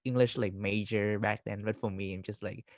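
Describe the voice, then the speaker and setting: A man from Vietnam, hosting an interview and asking questions haltingly, full of "like" and "you know". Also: on the low side, fairly even intonation, no echo. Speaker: interviewer, host. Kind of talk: casual interview.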